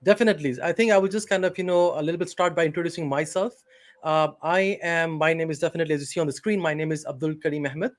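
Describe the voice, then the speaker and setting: A man's voice: fairly high pitch, fast talker. A man from Pakistan, a company CEO, answering interview questions hesitantly over a video call, with many fillers and restarts.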